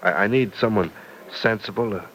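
A man's voice: lowish voice, brisk, a bit bright, a little hiss or hum, close to an everyday voice, low-quality recording. A man playing a stammering young man in love. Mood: affectionate. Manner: hesitant, stammers repeats. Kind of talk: 1940s radio acting.

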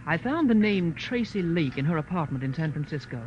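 A woman's voice: sits low, animated, brisk, darkish tone. An actress playing a haughty, commanding rich society woman in 1940s radio drama style. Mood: cool, commanding. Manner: crisp, commanding, disdainful. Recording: dull, noisy old radio recording.

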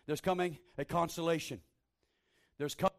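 A man preaching a fervent sermon in a small church, repeating phrases and building to shouted exhortation over a handheld microphone. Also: a little high, fairly even intonation, normal speed, vocal fry. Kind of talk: Pentecostal-style sermon.

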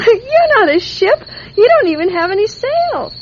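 A woman's voice: very high-pitched, sing-song, measured pace, exaggerated voice. An actress playing a trusting, determined little girl in a radio drama, chattering in an earnest, wide-eyed way. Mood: curious. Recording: dull, noisy radio drama recording.

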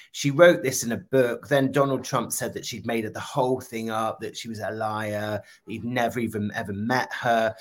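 Male journalist co-host, measured and explanatory, slightly low voice. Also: a bit bright. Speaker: podcast co-host, political journalist. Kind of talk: news explainer.

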